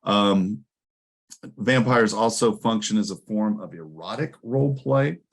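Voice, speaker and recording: A man presenting academic ideas in a measured, scholarly way, explaining concepts with small asides and check-in questions. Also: lowish voice. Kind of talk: conference presentation, explanatory lecture.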